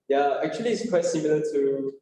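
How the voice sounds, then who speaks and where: A young man from Malaysia, a student guest on a talk show, speaking shyly and haltingly with many fillers. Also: fairly high pitch, lively intonation, warm tone, slight background noise. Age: young adult. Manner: shy, halting, many yeahs and I means. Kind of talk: casual talk show chat. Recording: echoey room.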